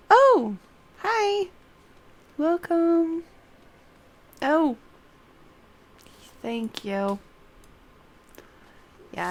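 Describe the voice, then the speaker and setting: A woman's voice: on the high side, gravelly. A woman streaming a game casually, chatting to herself as she plays. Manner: chatty, talks to herself while playing. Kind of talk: casual livestream play, reading quest dialogue aloud.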